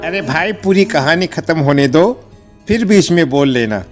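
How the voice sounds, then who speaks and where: An eerie, impatient male ghost pallbearer, put on by a man for an animated horror tale. The voice sits fairly high, with clear music behind it. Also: unhurried. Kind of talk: animated horror story dialogue.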